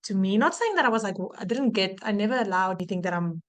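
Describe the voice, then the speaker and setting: A woman's voice: mid-range voice, animated, quick pace. A young woman with a South African accent, chatting casually as a podcast guest in a blunt, frank, sweary manner. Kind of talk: casual chat with a friend.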